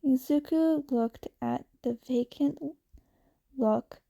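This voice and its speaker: Woman, audiobook narration, slow pace, gravelly creak. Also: high voice, dark tone. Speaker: podfic narrator. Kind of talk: fan fiction audiobook reading.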